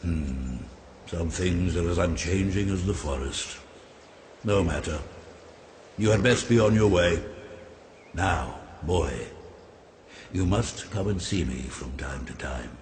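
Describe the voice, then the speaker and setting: An actor playing an ancient talking oak tree, wise, kindly but stern, speaking in a grave, formal way in an animated fantasy game. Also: male voice, sits low, slight background noise. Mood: gently grumbling. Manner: slow, grave, formal and deliberate.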